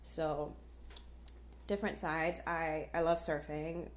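A woman's voice: low voice, flat delivery, takes it slowly, a little hiss or hum. A young woman chatting casually and candidly on a solo podcast, with an informal manner. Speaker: podcast host. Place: outdoors on a patio.

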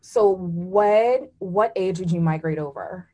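A woman's voice: fairly low pitch, slow pace, dark tone. A woman hosting a casual podcast interview, playful and self-deprecating, who often stumbles over her words. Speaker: podcast host.

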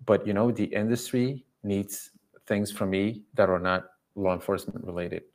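Man, low voice, English, talkative, emphatic, long explanations, casual interview guest, dog coach. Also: moderate pace. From Belgium. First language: not English. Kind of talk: casual podcast conversation.

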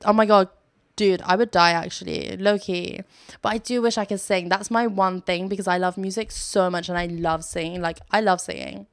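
A woman's voice: fairly low pitch, steady tone, moderate pace, no echo, studio quality. A young woman hosting a casual solo podcast from her sofa, chatty and self-mocking, laughing often as she talks. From Philippines. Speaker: podcast host. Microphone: phone.

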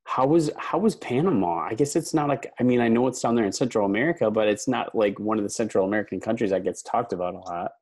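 A host asking questions in a casual interview, friendly and relaxed, often restarting sentences. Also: mid-range voice, fast, hardly any pauses.

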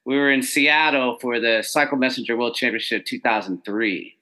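A man hosting a casual podcast interview over a video call, excitable and slangy, saying dude a lot. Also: medium pitch, normal speed, rough recording. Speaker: podcast host.

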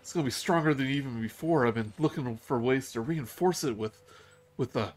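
A man's voice: mid-range voice, fairly quick, pitched above the performer's own voice, close to an everyday voice. A man voicing a young female dragon who is eager and chatty, stumbling over her words.

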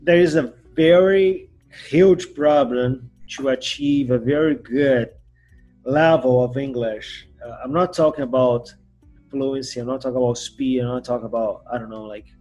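Brazilian guy hosting a podcast, portuguese accent, a bit husky, gets really animated, faint guitar behind. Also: moderate pace, low-quality recording. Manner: animated, jumps up in pitch and loudness when excited. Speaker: podcast host. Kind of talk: casual interview chat.

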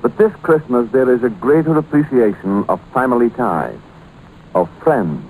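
A man giving a solemn, formal holiday address in a measured 1940s radio style. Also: medium pitch, lively intonation, darkish tone. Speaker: radio speaker. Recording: noisy old 1940s radio recording.

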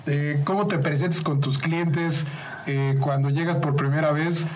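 A man's voice: fairly high pitch, steady tone, a little slow, a little hiss or hum. A man co-hosting a sales podcast, asking the guest questions in a chatty way full of fillers. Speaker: podcast co-host. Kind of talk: podcast interview.

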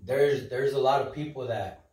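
A man in his thirties, a guest chatting casually on a podcast, upbeat and animated, acting out quoted voices and dropping in Spanish words. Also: medium pitch, normal speed. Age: 30s. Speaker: podcast guest, social media influencer. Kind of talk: casual chat. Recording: roomy, mic at a distance.

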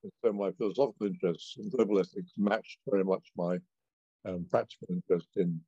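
An older retired philosophy lecturer introducing himself in a measured, reflective way, on a slightly muffled remote recording. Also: male voice, low voice, fairly even intonation, warm tone, husky, dry recording. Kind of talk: roundtable introduction.

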